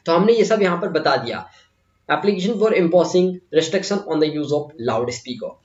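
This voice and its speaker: A man with an Indian accent giving a step-by-step English exam lesson as a teacher, repeating phrases and asking himself questions as he instructs. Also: high-pitched. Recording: muffled, echoey room.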